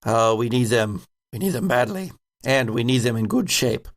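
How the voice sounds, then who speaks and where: A man voicing a fastidious lead scientist with a formal, deliberate manner in a tabletop game. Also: mid-range voice, animated, close to an everyday voice. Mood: earnest, urgent.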